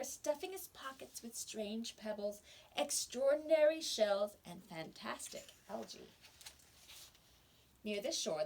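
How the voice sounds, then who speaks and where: A woman teacher reading a storybook aloud to young children, expressive and pausing to explain words. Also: fairly high pitch, poor audio.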